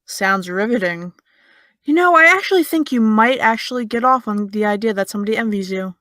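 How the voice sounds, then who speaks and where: A woman's voice: fairly high pitch, fairly quick. Woman acting sardonic young American woman, Eve. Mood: sarcastic, cutting. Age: young adult. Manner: dry, teasing, deadpan. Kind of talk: audio drama dialogue.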